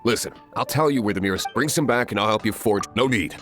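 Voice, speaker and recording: A man playing a gruff, busy, no-nonsense blacksmith in a video game, speaking curtly. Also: fairly low pitch, fast talker, over music, lightly acted. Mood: gruff, businesslike. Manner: curt, gruff.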